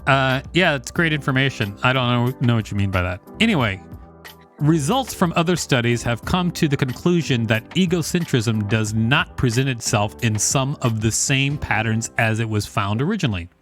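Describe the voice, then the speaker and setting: A man's voice: medium pitch, animated, normal speed, fairly bright tone, faint music behind. A man co-hosting a podcast, reading an encyclopedia article aloud with informal, joking banter. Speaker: podcast co-host.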